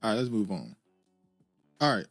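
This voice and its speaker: A man's voice: fairly low pitch, moderate pace, soft background music. Laid-back man hosting a show. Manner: relaxed, laughing, filler-heavy. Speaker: show host. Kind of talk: casual commentary reacting to clips.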